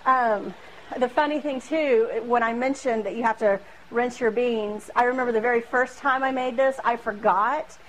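A woman's voice: fairly high pitch, normal speed, slight background noise, low-quality recording. A woman in her thirties giving a casual cooking demonstration in a small home kitchen, chatty and self-deprecating, recorded by a camera across the room. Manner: chatty and self-deprecating, with frequent fillers and laughs. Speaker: home cooking video host.

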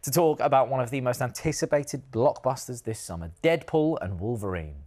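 A man's voice: mid-range voice, lively intonation, fairly quick, a little mellow. Man, thirties, TV host, upbeat scripted links, studio. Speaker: TV presenter. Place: bright TV studio, indoors.

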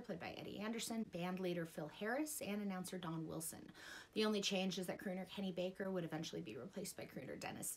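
A middle-aged woman, hosting and reading scripted narration. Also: mid-range voice. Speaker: video essay host.

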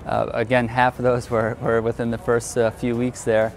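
A man in his thirties, an auction car specialist, explaining in an interview in a halting, measured way. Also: medium pitch, monotone. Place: large tent with cars on display.

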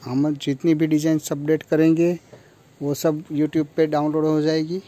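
Young male seller from India, monotone delivery, mellow, slightly high voice, phone recording in a shop. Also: unhurried. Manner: flat, matter-of-fact listing of features. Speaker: kurti factory seller. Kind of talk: product showcase walkthrough. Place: clothing store with fabric shelves.

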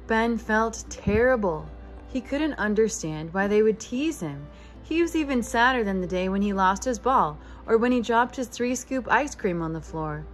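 A woman reads a picture book for preschoolers in the manner of a teacher. Her delivery is expressive and lively in pitch, aimed at small children. Faint music plays along with her voice. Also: normal speed. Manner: expressive, addressing young children. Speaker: preschool teacher reading aloud. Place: living room with couch, indoors.

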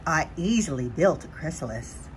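A woman reading a children's picture book aloud in an expressive storytelling style. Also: deep voice, moderate pace, noisy background. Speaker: storybook reader.

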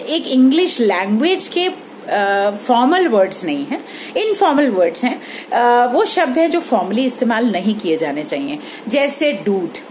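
A woman's voice: a little high, slight background noise. A woman in her thirties teaching a recorded lesson at home, explaining step by step and often checking that listeners follow. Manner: explanatory, mixes Hindi with English phrases, frequent "ठीक है?" checks. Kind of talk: recorded lecture. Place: indoor room at home. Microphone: phone held at arm's length.

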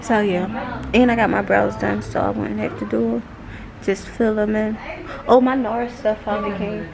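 A woman's voice: mid-range voice, little pitch movement, moderate pace, creaky, crowd noise. A young adult woman chatting casually to camera in slangy, playful speech, recorded indoors on a handheld camera. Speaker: vlogger. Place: indoors, nail salon and home rooms. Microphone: handheld camera at arm's length.

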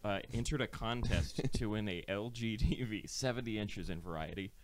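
A man's voice: on the low side, moderate pace, a little hiss or hum. A man in his thirties co-hosting a casual comedy podcast, joking and swearing into a handheld mic. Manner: joking, profane. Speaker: podcast co-host. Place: small podcast set, indoors. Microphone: handheld microphone.

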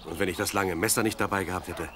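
An actor playing a brave, proud young shepherd in a Bible audio drama, with farmyard animals heard behind. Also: male voice, sits low, steady tone, quick pace, noisy background, natural voice. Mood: urgent, proud.

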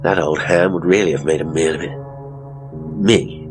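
A man's voice: rumbling low, steady tone, normal speed, over music. A man playing a hapless, pompous middle-aged ghost tour operator in a horror audio drama, with a British accent and a grumbling, theatrical, wordy delivery. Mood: pompous, indignant. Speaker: ghost tour guide and storyteller.

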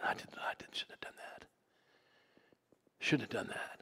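Storytelling pastor in a reverberant church. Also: male voice, measured pace, in a whisper. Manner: storytelling, swings from loud to hushed. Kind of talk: sermon. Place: church sanctuary.

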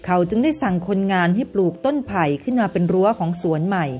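Lively woman telling children's stories. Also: mid-range voice, fast, faint music behind. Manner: gentle, sing-song with children. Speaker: storyteller and mother. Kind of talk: children's bedtime story narration.

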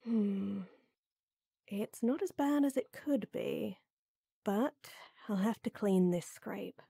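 A woman playing a tall, strong lady knight who flirts and teases softly and coyly in a roleplay audio drama. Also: medium pitch, slow pace, gravelly, breathy edge, dry recording, studio quality, heavily acted. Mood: caring, reassuring. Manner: teasing, coy, soft and intimate with chuckles.

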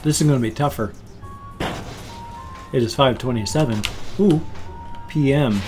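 An older man in his sixties hosts a casual let's play, chatting and muttering along as he goes. His voice sits at an ordinary middle pitch, recorded close at home, with loud game music behind him. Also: unhurried. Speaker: gaming channel host. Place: small room at home. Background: loud video game music.

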